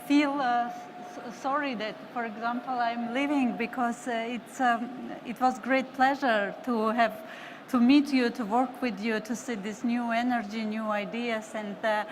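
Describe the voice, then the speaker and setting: A woman on a live panel speaking halting non-native English and searching for words. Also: on the high side, steady tone. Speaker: panel moderator, team member. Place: live stream stage at a busy event. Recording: noisy event floor ambience.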